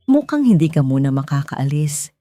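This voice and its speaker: A woman voicing a quiet, gentle single-father café owner. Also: low-pitched, big pitch swings, normal speed, no echo. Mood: quiet, wistful.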